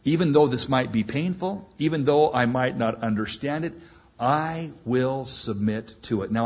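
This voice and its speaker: Husky-voiced man preaching emphatically. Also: medium pitch, lo-fi sound. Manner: emphatic, repetitive exhortation. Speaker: pastor. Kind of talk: sermon. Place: church.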